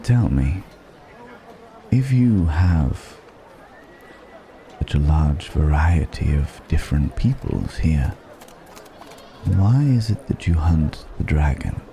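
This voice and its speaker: Male dragon in disguise, played by a man, suave and gently mocking, extremely low and dark, for intimate fantasy ASMR with musing pauses. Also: very animated, a little hiss or hum, exaggerated voice. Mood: curious, probing. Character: suave, probing male dragon posing as a curious stranger. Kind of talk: ASMR roleplay, intimate dramatic monologue. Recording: background music and crowd murmur.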